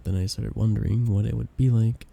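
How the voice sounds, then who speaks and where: A man's voice: lowish voice, fairly even intonation, brisk, gravelly, warm tone. A man playing a shy young guy confessing love to a best friend in an intimate ASMR romance roleplay, tender and hesitant. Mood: tender, earnest.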